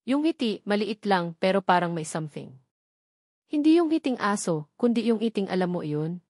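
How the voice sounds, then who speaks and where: A Filipino-accented woman tells a love story as an audiobook narrator. Her delivery is dramatic but conversational, at a medium pitch. The recording is very dry, with little trace of a room. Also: big pitch swings, moderate pace, darkish tone, no echo.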